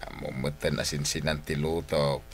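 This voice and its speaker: Male family man, Ilocano accent, extremely low gravelly voice, plain-spoken radio drama chiding. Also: flat delivery, normal speed, slight background noise. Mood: mildly exasperated.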